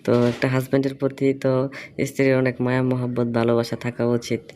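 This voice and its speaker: A man's voice: mid-range voice, flat delivery, fast talker, a little mellow. A man in a casual phone conversation, asking probing questions and explaining himself at length. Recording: phone call recording with faint noise.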